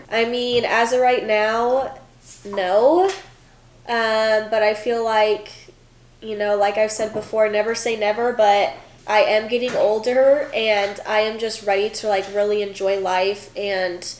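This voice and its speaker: A woman's voice: medium pitch, darkish tone, reverberant. A woman in her twenties answering viewer questions in a casual vlog, chatty with lots of fillers, sounding stuffy from a cold. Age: 20s. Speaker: vlogger, former college gymnast.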